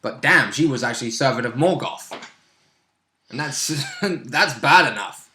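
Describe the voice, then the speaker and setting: A man's voice: mid-range voice, expressive, unhurried, pushed and bright, slight background noise. A man chatting casually as a player looking back on a tabletop role-play session. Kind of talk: casual animated chat. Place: indoor room. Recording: roomy echoing home recording.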